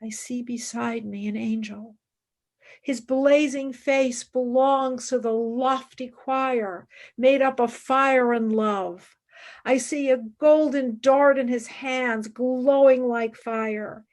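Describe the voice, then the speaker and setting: An actress playing a fervent, devout woman philosopher and mystic in a radio play, speaking in intense, formal, reverent declamation. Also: high-pitched. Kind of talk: radio play acting, monologue and dialogue.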